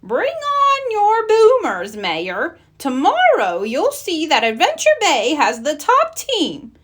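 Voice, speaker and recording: Storybook lady mayor, played by a female narrator: shrilly high, animated, excitable and defiant. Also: slow pace, heavily acted. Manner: excitable, emphatic. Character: excitable, defiant woman mayor of a small town.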